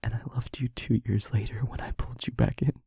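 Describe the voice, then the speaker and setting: A man playing a guilt-ridden demon lover in an intimate audio roleplay, speaking in a halting, breathy, confessional hush. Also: mid-range voice, steady tone, dry recording, studio quality. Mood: tender, pleading. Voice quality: breathy, husky, whispery.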